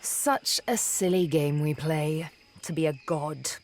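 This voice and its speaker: A woman playing a seductive, vengeful elven assassin and former slave in a video game, speaking in a deliberate, theatrical, teasing manner. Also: low voice, a little mellow, soft background music. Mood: musing, uneasy. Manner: deliberate, teasing, dramatic storytelling with pauses. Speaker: video game companion character.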